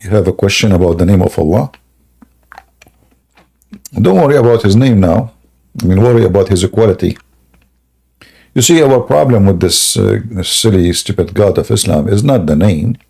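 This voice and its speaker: A man hosting a live debate stream in a scoffing, jokey way, laughing often and clearing a sore throat. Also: fairly low pitch, normal speed, pauses often, dark tone. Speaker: livestream debate host. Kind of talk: mocking live debate chat.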